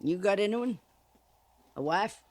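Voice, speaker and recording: A woman's voice: mid-range voice, lively intonation, measured pace, darkish tone. An actress playing a canny, sardonic old frontier trader woman with a rustic American accent, folksy and blunt, in a 1950s radio western. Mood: probing. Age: older. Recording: noisy vintage 1950s radio recording.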